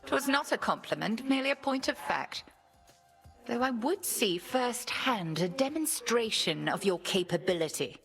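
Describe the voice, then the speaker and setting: A woman voicing a stern, imperious witch headmistress in a video game, speaking in a formal, measured, exacting way. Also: a little high, lively intonation, vocal fry, fairly bright tone, faint music behind. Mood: cool, exacting.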